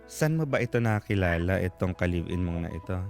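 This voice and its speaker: A man's voice: sits low, expressive, a little fast, darkish tone. A man with a Filipino accent hosting a radio advice show, casually interviewing a caller on air. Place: radio studio. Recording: music playing behind the voice. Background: music.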